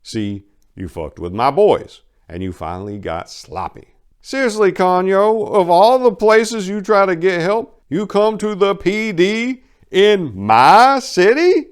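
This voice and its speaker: Casting: male narrator as crooked old mayor for a crime audiobook, mocking, jovially menacing, street-slang drawl, pitched up and loud. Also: big pitch swings, takes it slowly, smooth, full character voice. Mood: taunting. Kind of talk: dramatic reading of a crime story.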